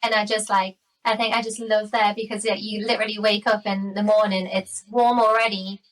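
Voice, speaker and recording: A woman's voice: medium pitch, little pitch movement, fairly quick, far from the mic, a crowd in the background, rough recording. A woman in her late thirties from the Newcastle area with a Geordie accent, chatting casually as a podcast guest. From Newcastle area, England. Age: late 30s. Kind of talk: casual chat.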